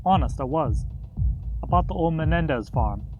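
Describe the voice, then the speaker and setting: A man's voice: a little high, expressive, moderate pace, dull audio, lightly acted. A man playing a betrayed small-time crook in a comic radio play. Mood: remorseful. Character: small-time crook betrayed, pleading he was going straight. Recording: music bed behind the voice.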